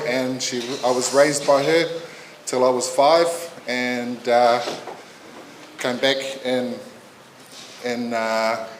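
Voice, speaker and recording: A man in his fifties giving a heartfelt funeral eulogy, halting often as he searches for his words. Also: mid-range voice, slight background noise. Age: 50s. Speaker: family member giving a eulogy. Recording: roomy echo.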